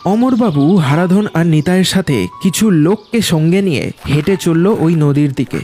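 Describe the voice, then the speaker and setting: A man narrates a ghost story with scripted drama. His voice sits a little high, and soft music plays behind him. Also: lively intonation, normal speed.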